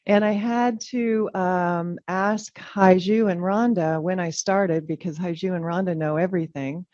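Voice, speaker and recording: A middle-aged woman, a Danish native speaker, giving a reflective, halting farewell speech as chair of a public meeting. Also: on the low side, steady tone, darkish tone. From California. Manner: reflective, halting, with frequent ums. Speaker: commission chair. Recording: dull lo-fi meeting audio.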